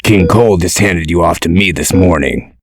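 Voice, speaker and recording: A man playing a gruff, protective male character in a comic dub, speaking in a measured, dry, explanatory way. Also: deep voice. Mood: calm, explanatory.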